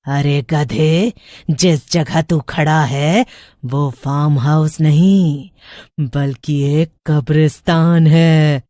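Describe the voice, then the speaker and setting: A woman voicing an angry, boastful witch in a horror story, taunting with drawn-out menace. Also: low-pitched, steady tone, dark tone, no room sound, clean studio sound. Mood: furious, menacing.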